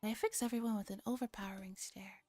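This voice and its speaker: A woman reads a story aloud in a soft, mellow ASMR voice, often dropping to a whisper. She is hushed and deliberate with a dramatic touch. The sound is very dry and close. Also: mid-range voice, steady tone, dry recording, soft background music. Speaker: streamer reading a visual novel aloud.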